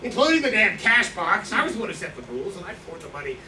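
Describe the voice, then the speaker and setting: An actor playing a boastful, hard-drinking Slovak profiteer who styles himself an outlaw, rambling and justifying himself in a staged reading. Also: male voice, high-pitched, very animated, quick pace, crowd noise. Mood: boastful, smug. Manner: rambling, boastful, self-justifying, loses his thread. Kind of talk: staged play reading, monologue-like recollection. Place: indoor staged reading, distant microphone. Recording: echoey, noisy room recorded from far off.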